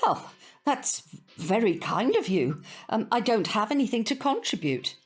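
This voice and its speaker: An actress playing a prim, proud older British neighbour in an audio drama, formal and stiffly polite. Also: female voice, a little high, very animated, measured pace, husky, faint music behind, exaggerated voice. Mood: reserved, polite. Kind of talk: naturalistic audio drama acting.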